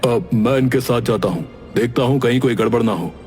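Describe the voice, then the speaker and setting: Guy doing a village cop in a cartoon, normal pitch, brisk and official. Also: a little mellow, soft background music, close to an everyday voice. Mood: businesslike. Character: matter-of-fact village police inspector giving orders. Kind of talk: Hindi cartoon voice acting.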